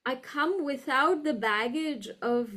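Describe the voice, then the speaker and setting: A woman with an educated upper-class Indian English accent, speaking reflectively and articulately in an interview, deliberate and emphatic, often checking in with "right?". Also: sits high, sharp tone. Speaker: guest, university professor.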